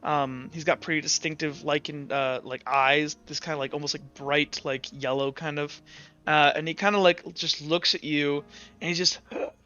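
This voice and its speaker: A game master improvising tabletop narration, halting and searching for words. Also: mid-range voice, fairly even intonation, bright, forceful tone, lo-fi sound, faint music behind.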